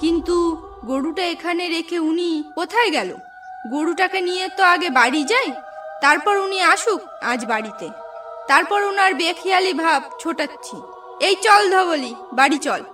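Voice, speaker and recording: A woman's voice: very high-pitched, expressive, normal speed, music in the background. A woman playing a worried, stubborn village wife in a horror cartoon. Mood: annoyed. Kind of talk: Bengali cartoon voice acting.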